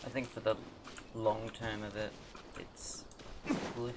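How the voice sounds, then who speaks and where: A man streaming a video game, giving casual commentary quietly under the game audio. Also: lowish voice, level tone, takes it slowly, noisy background. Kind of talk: casual live commentary over gameplay.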